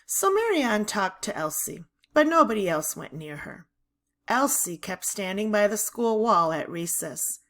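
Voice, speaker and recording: A woman reading a children's novel aloud in expressive, deliberate narration. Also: medium pitch.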